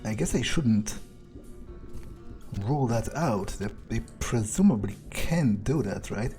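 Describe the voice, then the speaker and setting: A man's voice: medium pitch, expressive, a little slow, dark tone, soft background music. A man muttering and swearing as he thinks aloud in character while playing a game. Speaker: game player.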